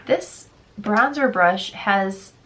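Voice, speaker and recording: A woman's voice: mid-range voice, drawn-out words, noisy background. A young woman with a stuffy, congested voice, chatting candidly through a beauty product try-on and reading product blurbs aloud. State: congested, sick. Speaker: beauty vlogger. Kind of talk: casual product try-on chat.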